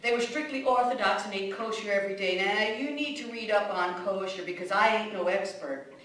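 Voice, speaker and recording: A woman's voice: medium pitch, a little fast, a bit bright, natural voice. A woman playing a blunt immigrant mother, echoey. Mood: reminiscing, matter-of-fact. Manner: plainspoken, colloquial storytelling with curt commands. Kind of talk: radio drama monologue. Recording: echoey room, distant microphone.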